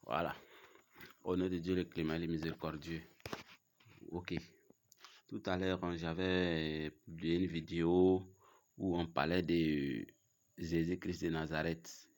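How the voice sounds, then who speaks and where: Man with a cold, very deep voice, preaching at viewers, repeats himself a lot. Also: level tone, a little mellow. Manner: challenging, repetitive, halting. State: has a cold. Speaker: religious video host. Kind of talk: casual religious preaching to camera.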